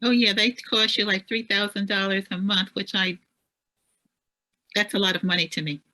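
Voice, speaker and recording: A woman with a bright, clear voice asks questions in a plain, hesitant way. She is an attendee talking with the host. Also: steady tone, moderate pace. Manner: says she has a high voice. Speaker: workshop attendee asking questions.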